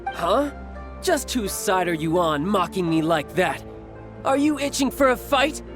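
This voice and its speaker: An actor playing a cocky, hot-tempered youngish warrior villain in a video game, indignant and theatrical. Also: male voice, high-pitched, very animated, unhurried. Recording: prominent background music.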